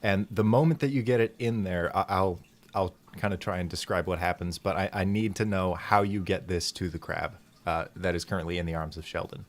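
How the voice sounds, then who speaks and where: A man's voice: deep voice, steady tone, fairly quick. A man casually narrating an improvised tabletop game as game master, making it up as he goes and swearing freely.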